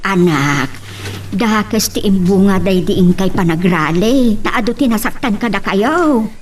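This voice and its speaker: A woman's voice: medium pitch, expressive, measured pace, lightly acted. A woman playing an anxious, disapproving mother in a radio-style drama. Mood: worried, disapproving. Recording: faint background noise.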